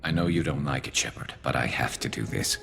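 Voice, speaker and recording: A man playing a grim, vengeful alien ex-cop turned vigilante in a video game, with a gravelly voice and terse, menacing delivery. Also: rumbling low, a little fast, a bit bright, faint music behind, exaggerated voice. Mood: cold resolve. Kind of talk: video game character acting.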